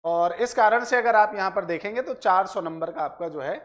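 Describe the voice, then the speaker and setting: A man's voice: sits high, a little fast, lo-fi sound. A man presents exam analysis like a coach, addressing viewers directly with frequent filler phrases and check tags. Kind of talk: explanatory exam analysis for students.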